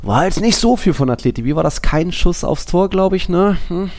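A man hosting a football podcast, steering the casual chat in a relaxed way and introducing a guest. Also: high voice, normal speed. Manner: relaxed, steers the talk with short backchannels. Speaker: podcast host.

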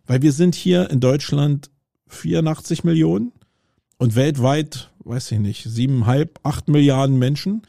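A man's voice: on the high side, normal speed, vocal fry, mellow, no room sound, clean studio sound. A middle-aged man from Germany hosting his own podcast, talking it through aloud outdoors in a city, with many fillers and restarts. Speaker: podcast host. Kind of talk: casual solo podcast monologue. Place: outdoors on a city street with roadwork noise.

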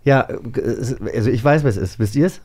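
A man with a medium-pitched voice chats casually as a podcast host. He talks informally, as in a relaxed group game. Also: normal speed. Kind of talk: casual chat, guessing game.

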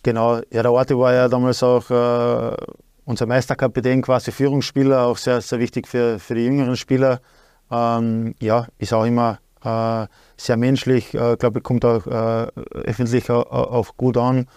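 A man's voice: medium pitch, flat delivery, normal speed, darkish tone, clean tone, dry recording, clean studio sound. A man in his thirties with an Austrian accent, a guest talking in a hesitant way with many fillers and restarts. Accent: Austrian German. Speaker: guest, sporting director. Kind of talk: casual talk show chat.

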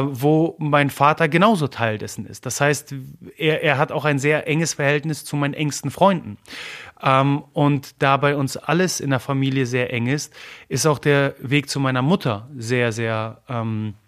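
Polish-native guy in an interview, earnest and emotional, lots of ums and restarts, middle-pitched voice. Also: a bit bright. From Germany. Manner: earnest, halting, many fillers and repeated words. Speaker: interview guest. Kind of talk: personal interview talk.

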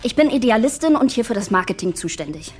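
A woman's voice: on the high side, very animated, quick pace, husky. A woman playing a curious, self-conscious marketing idealist who rambles in a German audio drama. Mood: nervous, chatty. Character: chatty, curious female marketing idealist, keen go-getter.

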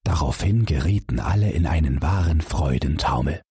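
Husky, low-voiced male storyteller. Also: normal speed, no room sound, studio quality. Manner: calm, expressive storytelling. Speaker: audiobook narrator. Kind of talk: scripted fairy-tale reading.

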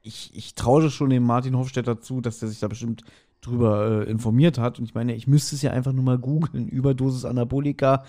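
A man's voice: medium pitch, fairly even intonation, a little fast, warm tone. Man musing in casual podcast chat. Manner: colloquial, musing, repeats himself for emphasis. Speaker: podcast co-host. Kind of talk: casual chat recapping a radio play.

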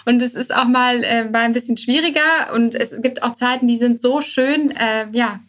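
A woman's voice: on the high side, normal speed, fairly bright tone. A woman talking casually as an interview guest, informal and sprinkled with English buzzwords. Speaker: podcast guest, start-up co-founder.

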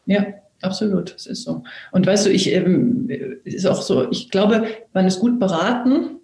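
A woman in her fifties from eastern Germany, an actress hosting a reflective conversation, explaining earnestly and slowly with many restarts. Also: medium pitch, dark tone. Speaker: actress and talk host. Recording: roomy echo.

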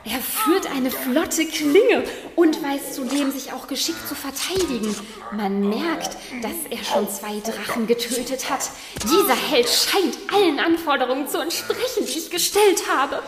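An actress playing a bossy, demanding young princess in a fairy-tale radio play, speaking in an imperious, insistent way. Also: female voice, high voice, lively intonation, measured pace, over music. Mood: approving. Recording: echoey, long reverberant space.

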